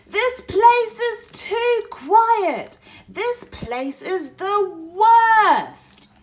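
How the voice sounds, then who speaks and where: A woman reading a picture book aloud for toddlers, expressive, moving between hushed whispers and loud exclaims. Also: extremely high pitch, drawn-out words. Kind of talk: read-aloud bedtime story for toddlers.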